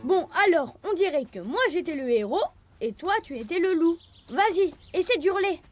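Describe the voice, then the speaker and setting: A woman's voice: extremely high pitch, lively intonation, normal speed, a little mellow. A woman voicing an eager, bossy young male leopard cub in a children's cartoon, playful and insistent. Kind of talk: animated children's cartoon acting. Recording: music behind the voice.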